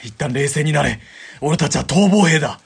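Man doing a gruff deserter soldier, husky, blunt, swings up and down in pitch. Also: lively intonation, moderate pace, exaggerated voice. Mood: heated. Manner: blunt, barking, rough soldier talk. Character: hot-tempered male army deserter, gruff soldier. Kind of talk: amateur radio drama acting.

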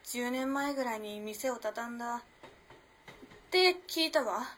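Radio drama modern girl, played by a woman: mysterious and composed, playfully superior, high and bright, faint noisy ambience. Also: measured pace. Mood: coy. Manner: teasing, composed, old-fashioned feminine speech. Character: teasing, mysterious 1910s modern girl, self-possessed young woman. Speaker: actor in amateur radio drama. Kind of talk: Japanese radio drama acting, storytelling.

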